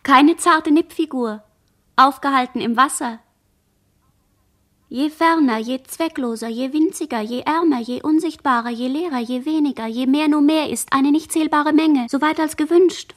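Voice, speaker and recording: A woman playing a dazed, barely conscious girl who survived a shipwreck, speaking halting fragments in a radio play monologue. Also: high-pitched, natural voice. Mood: trance-like. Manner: fragmented, halting word chains.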